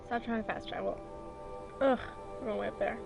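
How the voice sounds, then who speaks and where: A woman streaming a video game, chatting casually and reacting loudly to the play, her voice hoarse and scratchy from illness. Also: on the high side, fairly even intonation, brisk, music in the background. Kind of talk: casual gameplay commentary.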